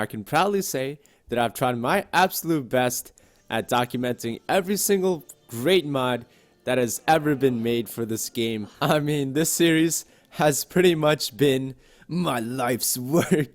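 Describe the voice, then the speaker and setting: Man, gaming youtuber, casual commentary, excitable, profane, jokey, mid-pitched voice. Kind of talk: casual gameplay commentary and channel talk.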